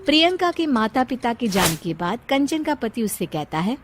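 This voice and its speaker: Female narrator for scripted moral tales; lively intonation, slightly high register, prominent music underneath. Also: normal speed. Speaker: story narrator. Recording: loud music behind the voice.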